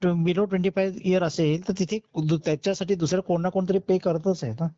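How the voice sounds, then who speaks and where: A woman's voice: fairly low pitch, fairly even intonation, a little fast, dark tone. A woman questioning and challenging someone persistently in an informal debate over the phone. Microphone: recorded phone call. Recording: dull, dry lo-fi call recording.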